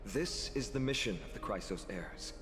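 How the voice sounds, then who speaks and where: A man's voice: on the low side, lively intonation, normal speed, lightly acted. A man voicing an earnest young male swordsman hero in a video game, speaking sincerely and invitingly. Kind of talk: game voice acting. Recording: game audio with long reverb over music.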